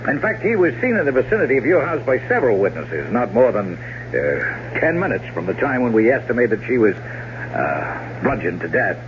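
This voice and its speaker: An actor playing a plain-spoken, probing police lieutenant, hesitant and apologetic, in a 1970s radio drama. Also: male voice, mid-range voice, very animated, moderate pace, close to an everyday voice. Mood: tentative, explanatory. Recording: muffled, faintly noisy old radio broadcast.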